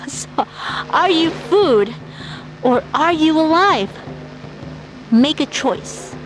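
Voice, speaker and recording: High-voiced defiant rebel leader over loud music. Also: female voice, expressive, very slow, full character voice, poor audio. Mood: defiant, menacing. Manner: menacing, urgent, preaching call to arms. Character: defiant rebel hacker woman leading vampire hunters. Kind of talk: dramatic pirate broadcast monologue.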